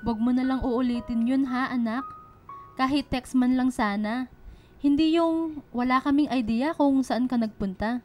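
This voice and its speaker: Worried Filipino mother, highish voice. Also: measured pace, a little mellow. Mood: worried scolding. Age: middle-aged. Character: worried, caring middle-aged single mother of two. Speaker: radio drama actor. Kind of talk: Filipino radio drama acting. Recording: music behind the dialogue.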